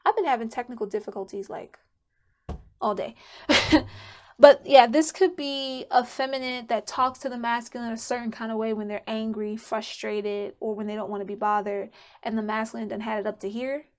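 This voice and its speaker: A woman's voice: on the high side, steady tone, moderate pace. A woman giving a casual pick-a-card tarot reading to camera, chatty and candid, thinking aloud as she goes. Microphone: camera mic.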